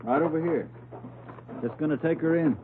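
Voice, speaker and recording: An actor as a brisk, blunt radio-drama doctor. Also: male voice, on the high side, noisy background, natural voice. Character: brisk, blunt male doctor at crime scene. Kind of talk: 1940s radio drama acting. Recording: tinny old radio recording with music.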